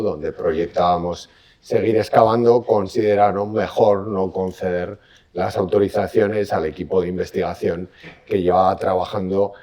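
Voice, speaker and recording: Low-voiced man explaining archaeology. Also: dark tone. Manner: explanatory, academic. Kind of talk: documentary interview.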